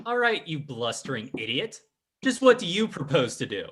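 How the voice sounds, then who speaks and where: An actor playing a scheming, defiant fake psychic in a live old-time radio drama, with a theatrical, formal delivery. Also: male voice, on the high side, very animated, moderate pace, very noisy. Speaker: stage actor in radio play.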